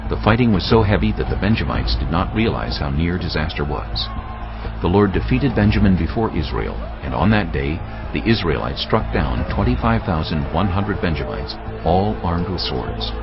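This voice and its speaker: A man giving a solemn, measured, dramatic narration of scripture. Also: low voice. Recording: dull lo-fi sound. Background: music.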